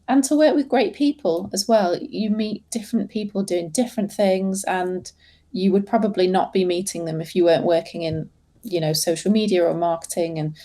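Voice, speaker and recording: An English woman chats as a podcast guest about her work. Her voice is mellow and relaxed, and she talks in loose, hesitant runs full of fillers and restarts. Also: fairly low pitch. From England. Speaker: guest, social media manager. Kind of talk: casual podcast interview.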